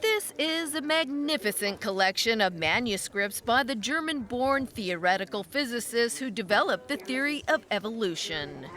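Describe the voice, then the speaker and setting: Female reporter, fairly high voice, scripted news feature narration. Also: lively intonation, normal speed, few pauses, sharp tone.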